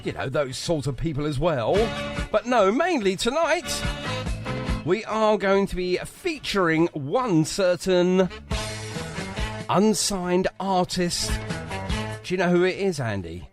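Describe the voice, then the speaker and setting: A man's voice: high voice, sing-song, takes it slowly. A man hosting a live radio show with casual, jokey, teasing banter. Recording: music behind the voice. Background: music bed.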